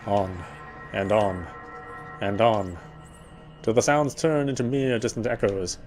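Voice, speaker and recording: A man's voice: fairly low pitch, takes it slowly, darkish tone. Man narrating a horror story over music. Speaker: player reading the narration aloud. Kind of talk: scripted narration of a horror visual novel.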